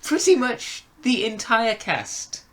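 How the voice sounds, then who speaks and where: A man in a casual review chat as a co-host, speaking in halting phrases and often repeating words. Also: very high voice, very animated, slight background noise. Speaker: podcast co-host reviewing audio dramas.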